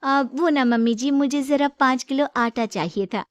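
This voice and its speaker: A woman's voice: sits high, moderate pace, no echo, muffled recording, voice pushed up, lightly acted. A woman voicing a brash, stingy, scheming daughter-in-law who wheedles in a Hindi moral story. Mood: wheedling.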